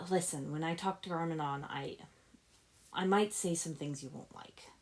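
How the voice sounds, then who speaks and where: An earnest young ninja hero, read by a woman in a low voice. He is shrewd and a little rueful as he explains himself in an adventure story. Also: moderate pace. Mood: rueful, serious.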